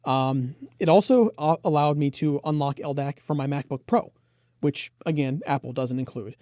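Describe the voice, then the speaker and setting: Mellow-voiced man presenting gear. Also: a little high, normal speed, no room sound, studio quality. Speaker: product reviewer and presenter. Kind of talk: enthusiastic product review, explanatory.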